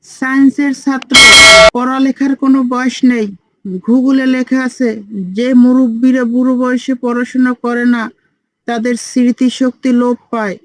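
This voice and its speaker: A woman's voice: sits high, monotone, moderate pace, a little mellow, no echo, lightly acted, poor audio. A woman voicing a cheeky, know-it-all five-year-old boy who lectures bossily in a comic cartoon. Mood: lecturing. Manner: bossy, lecturing.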